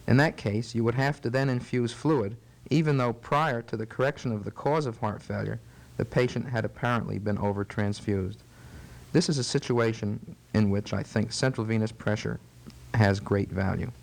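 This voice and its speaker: A man's voice: fairly low pitch, normal speed, vocal fry, rough recording. A man speaking as a physician in a teaching interview, explaining things formally, precisely and methodically. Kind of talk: measured medical teaching interview.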